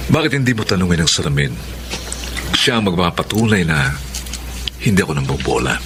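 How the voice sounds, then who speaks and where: A man's voice: low-pitched, animated, a little fast. A man with a Filipino accent playing a suave, persistent, flirtatious college professor in a radio romance. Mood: flirting. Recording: clear background ambience noise.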